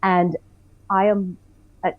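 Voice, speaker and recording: A woman's voice: medium pitch, flat delivery, slow pace, dry recording, phone-quality sound. A woman from Jamaica, a filmmaker, telling her story as an interview guest in an earnest way and laughing between phrases. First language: not French.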